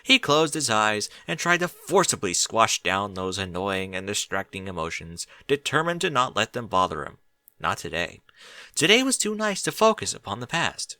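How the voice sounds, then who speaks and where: A man reading a story as a scripted, expressive audiobook narrator. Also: fairly low pitch, normal speed, dry recording.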